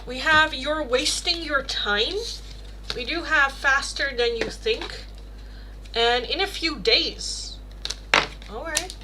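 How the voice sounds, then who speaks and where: A woman's voice: high-pitched, slow pace, long pauses, background noise. Chatty Dutch woman reading tarot live. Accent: Dutch, Rotterdam. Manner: chatty, rambling, many fillers and self-corrections. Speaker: tarot reader on a livestream. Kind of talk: casual live tarot reading, answering chat questions.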